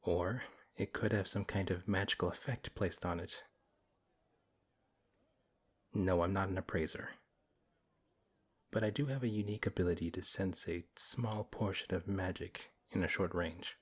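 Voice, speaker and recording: Guy doing a big strong paladin for asmr roleplay, really deep husky voice, stern and kinda dry, wary but helpful. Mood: cautious, reassuring. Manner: stern, measured, dryly skeptical. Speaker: audio roleplay performer. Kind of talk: ASMR audio roleplay acting.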